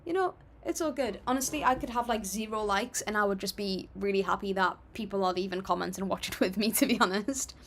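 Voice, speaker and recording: A woman's voice: medium pitch, quick pace, few pauses. Chatty young woman reading livestream comments. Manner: chatty and apologetic, reads viewer comments aloud. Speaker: livestream music reactor.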